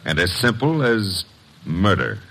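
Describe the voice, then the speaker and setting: An actor playing a wisecracking, brash detective's assistant in an old-time radio drama, sardonic and breezy. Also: male voice, low voice, big pitch swings, a little slow, exaggerated voice. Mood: hardboiled narration. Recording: noisy vintage radio recording.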